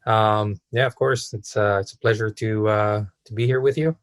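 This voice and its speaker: A man in his thirties with an Israeli accent, answering interview questions casually in halting phrases with frequent pauses. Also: fairly low pitch, fairly even intonation, dry recording, studio quality. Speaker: podcast guest, real estate entrepreneur.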